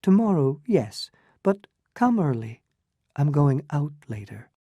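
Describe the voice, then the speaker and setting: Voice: medium pitch, sing-song, slow pace, mellow, breathy edge, no room sound, voice pushed up, full character voice. A narrator voicing a poised young countess who is guarded and proud, speaking in a lighter, clipped, composed way. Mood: brisk.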